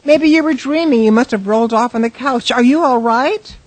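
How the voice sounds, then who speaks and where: Woman acting husky, anxious, protective companion. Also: on the high side, fairly quick, close to an everyday voice, low-quality recording. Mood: concerned, puzzled. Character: Terry, anxious, protective woman comforting a troubled man. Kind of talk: radio drama acting.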